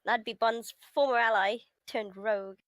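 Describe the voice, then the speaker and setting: A woman's voice: on the high side, steady tone, normal speed, fairly bright tone, dry recording. A woman streamer reading a visual novel aloud, giggly and breaking from the script with casual reactions. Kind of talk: live stream read-aloud with casual asides.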